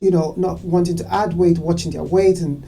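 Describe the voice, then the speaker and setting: A woman hosting a talk show discussion, earnest and quick to agree with her guest. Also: on the low side, fairly even intonation, warm tone, a little hiss or hum. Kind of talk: casual discussion.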